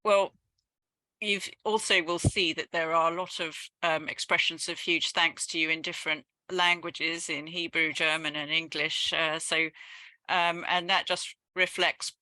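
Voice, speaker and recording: A woman's voice: deep voice, steady tone, a little slow, sharp tone, no room sound, low-quality recording. A woman hosting an online lecture and moderating the questions through a headset, speaking politely and formally. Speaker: host of the society's lecture. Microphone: headset on a video call.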